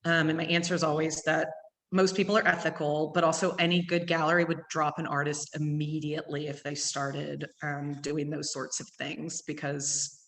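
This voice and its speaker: Fast-talking East Texas woman, low voice. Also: level tone, a bit bright. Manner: fast-talking with many ums. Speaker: gallery owner. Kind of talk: virtual gallery tour talk. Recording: long hall reverb.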